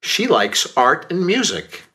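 A man voicing a slow, lively young American. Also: on the high side, heavily acted. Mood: plain. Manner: slow and deliberate. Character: young American man reading a self-introduction. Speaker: course audio reader. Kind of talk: slow scripted language-course reading.

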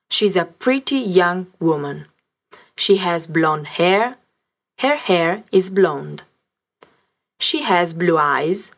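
Slow, low-voiced woman teaching a lesson. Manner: slow, carefully spelled-out reading. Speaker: language teacher narrator. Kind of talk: scripted language lesson narration.

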